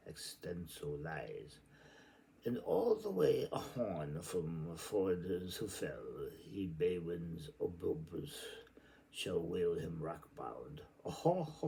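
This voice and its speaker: A man with a very low voice reads a dense novel aloud in a theatrical way. His pitch swings widely and he takes his time, slipping into muttered asides. The recording is informal, with faint noise behind him. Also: creaky, warm tone, low-quality recording. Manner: theatrical, declamatory when reading; rambling, muttering to himself between passages. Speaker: reader of a literary novel.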